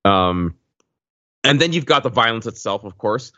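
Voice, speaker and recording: Deeper guy podcast cohost, stop-start film analysis, says right a lot, dry lofi mic. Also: lively intonation, sharp tone, no room sound, muffled recording. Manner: halting, tags points with "right?". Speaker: podcast co-host. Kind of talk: casual analytical film discussion.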